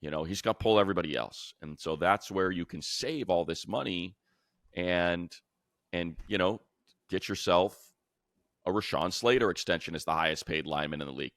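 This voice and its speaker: A man's voice: deep voice, normal speed, a bit bright, dry recording, studio quality. A man co-hosting a sports show, giving unscripted analysis and repeating phrases for emphasis. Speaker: sports show co-host and commentator.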